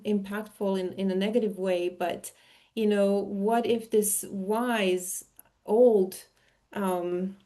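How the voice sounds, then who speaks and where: A woman from Serbia presenting her own art in a talk, speaking in a searching way with frequent pauses and self-interruptions. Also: mid-range voice, dark tone. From Serbia, former Yugoslavia. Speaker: artist, interviewee.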